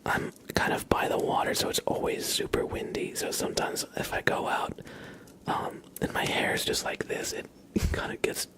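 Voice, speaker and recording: A young man talks casually to viewers in a soft ASMR style. His delivery is relaxed and halting, and his voice often drops to a whisper. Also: poor audio. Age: young adult. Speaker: ASMR livestreamer chatting with viewers.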